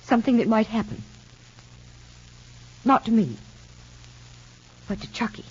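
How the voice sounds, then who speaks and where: A husky-voiced, fearful mother in a 1950s radio soap opera. She speaks in a subdued, halting, earnest way. The old recording is dull and lo-fi. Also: fairly high pitch, very animated, heavily acted. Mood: anxious, fearful. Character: anxious young mother, tense and fearful.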